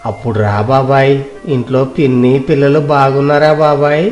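A man's voice: mid-range voice, steady tone, darkish tone, lightly acted. A man playing a kind-hearted young village cloth pedlar in an audio moral story. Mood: friendly. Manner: sing-song drawn-out street calls when hawking. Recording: echoey room sound, music behind.

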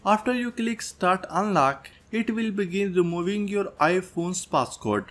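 A man's voice: sits high, lively intonation, unhurried, a bit bright. A man presenting a tech tutorial as a scripted voice-over, going through instructions step by step. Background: background music.

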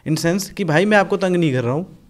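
Casting: young guy from Punjab, slightly high voice, blunt, swears a lot, lively storyteller. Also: fairly quick. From Punjab, India. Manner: blunt, animated, swears freely, mixes English in. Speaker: podcast guest, rapper. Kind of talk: casual podcast chat.

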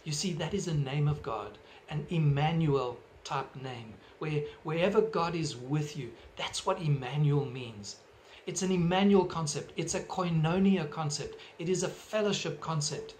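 A middle-aged man with a South African accent preaching a sermon from his living room into a webcam, earnest and measured, gesturing as he talks. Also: on the high side, darkish tone, slight background noise. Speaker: pastor. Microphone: webcam at desk distance.

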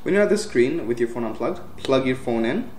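A man's voice: medium pitch, lively intonation, brisk, a little mellow. Casual man walking through computer steps. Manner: casual, plain step-by-step instructions with many uhs and self-corrections. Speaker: tech tutorial host. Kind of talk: screen-recorded software walkthrough. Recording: roomy with faint hiss.

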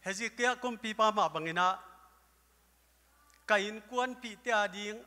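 An older man preaching a sermon at a lectern with a handheld microphone, breaking into loud exclamations now and then. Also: high-pitched, moderate pace, clean tone. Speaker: pastor. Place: church hall stage. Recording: live church hall with long reverb.

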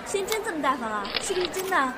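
A woman's voice: extremely high pitch, big pitch swings, quick pace, a loud crowd. A woman acting in an audio drama as a pushy, prying entertainment reporter. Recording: loud outdoor city ambience behind.

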